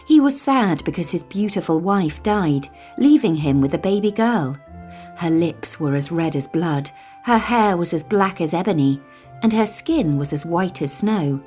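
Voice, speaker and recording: A woman narrates a children's fairytale in a highly expressive voice. She takes her time with dramatic phrasing, and soft music plays behind her. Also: a little slow. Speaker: storyteller.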